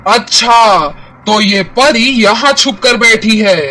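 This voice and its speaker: A man acts out a vengeful cartoon demon with a high, forceful voice. He is boastful and menacing, drawing out his threats slowly over clear background music. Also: exaggerated voice. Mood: menacing, gloating. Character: vengeful male demon who threatens to devour people. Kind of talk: cartoon villain acting.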